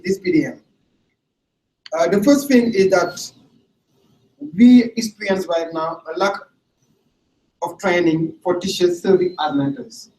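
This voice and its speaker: A man from Senegal, an English teacher, presenting a training module to camera in a deliberate way, often restarting and repeating words. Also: high-pitched, a little mellow. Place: room without audience. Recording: echoey room, far from the mic, faint ambience.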